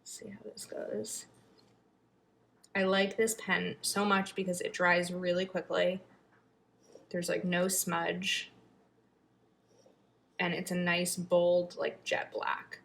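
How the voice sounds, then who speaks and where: A woman chatting casually while decorating her planner, thinking aloud and sometimes dropping to a murmur, her throat a little raspy from a long filming session. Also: fairly low pitch, little pitch movement, slow pace, pauses often, fairly bright tone. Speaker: planner vlogger. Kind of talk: casual planning video chat.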